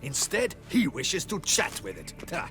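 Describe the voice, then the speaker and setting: Proud, animated guard captain. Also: male voice, on the high side, unhurried, faint music behind, full character voice. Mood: indignant. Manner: formal, emphatic. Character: proud, indignant, dutiful male guard captain. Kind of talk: video game dialogue.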